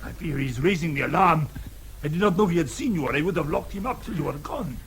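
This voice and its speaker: High-voiced, worried Tyrolean guide. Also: male voice, expressive, fast, a bit bright. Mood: anxious. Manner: formal, deferential, worried. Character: anxious, deferential Tyrolean father working as mountain guide. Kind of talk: radio drama acting. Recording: radio drama with faint background noise.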